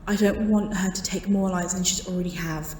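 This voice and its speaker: A woman's voice: fairly low pitch, fairly even intonation, brisk, mellow. A woman acting as an earnest female informant in an audio roleplay, grave and insistent, her voice in an echoing effect. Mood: grave, resolute. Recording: echoey, faint background noise.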